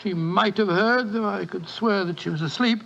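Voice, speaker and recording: An actor playing the detective's earnest, loyal doctor companion, who narrates the story in a 1940s radio drama. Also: male voice, sits high, normal speed, gravelly, a bit bright, slight background noise. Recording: dull 1940s radio broadcast.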